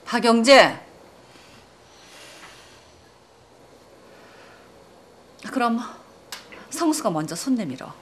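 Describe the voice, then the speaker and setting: An actress playing a firm schoolteacher instructing a class in a children's TV drama. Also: female voice, fairly high pitch, sing-song, moderate pace, a little hiss or hum. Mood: admonishing.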